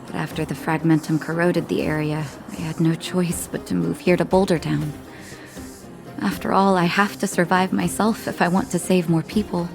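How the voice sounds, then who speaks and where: A woman voicing a caring, unflappable doctor of a mining-town clinic in a video game, measured and soothing. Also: lowish voice, steady tone, creaky. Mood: reflective. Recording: faint music behind the voice.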